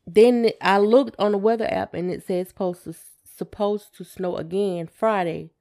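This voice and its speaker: A woman's voice: mid-range voice, steady tone, normal speed, mellow. A woman chatting in a laid-back, plain way as a podcast co-host. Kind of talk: casual chat with spouse.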